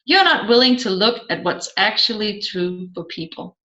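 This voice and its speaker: A woman narrating an audiobook of a seminar in a deliberate, emphatic, questioning manner. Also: mid-range voice, lively intonation, bright, forceful tone. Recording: slightly dull, roomy echoing sound.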